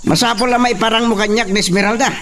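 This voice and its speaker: A man's voice: high-pitched, fairly even intonation, quick pace, fairly bright tone. A man acting an imperious melodrama character in Ilocano-accented radio drama, with faint noise behind. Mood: commanding. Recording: faint background noise, insects.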